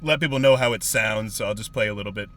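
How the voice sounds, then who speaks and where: Male podcast host, easygoing questioner, crisp bright tone, faint music underneath. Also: on the low side, quick pace. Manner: easygoing, asks short questions. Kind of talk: casual interview chat.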